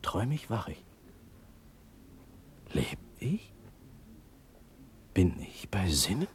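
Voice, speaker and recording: An actor playing a young, hot-headed, proud Prussian prince in a classical verse radio drama, theatrical and declamatory. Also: male voice, sits low, wildly swooping pitch, slow, a little hiss or hum, full character voice. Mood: stunned disbelief.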